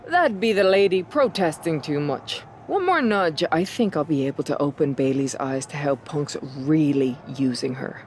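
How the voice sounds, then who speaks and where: A woman's voice: low voice, animated, moderate pace, few pauses, a little mellow, slight background noise. A woman playing a determined, sly Irish pro wrestler in a video game story scene. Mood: sly, confident.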